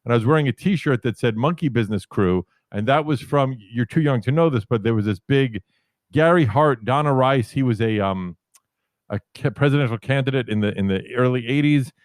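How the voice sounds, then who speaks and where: A man in his fifties from New York City with a deep voice, telling rambling, self-correcting stories about his career as a podcast guest. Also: fairly quick, no echo. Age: 50s. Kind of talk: casual storytelling interview.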